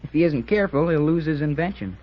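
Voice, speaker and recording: An earnest, bantering American schoolboy cadet from an old radio serial. His voice sits a little high and has a husky edge. He talks in a folksy, boyish way, and the old recording is dull. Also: expressive, fast talker. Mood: earnest, worried. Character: Jerry, earnest, joking teenage military school cadet boy.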